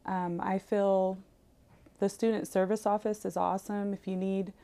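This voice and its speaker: A woman's voice: mid-range voice, flat delivery, normal speed, mellow. A woman, a college student, giving an earnest personal testimonial in an interview.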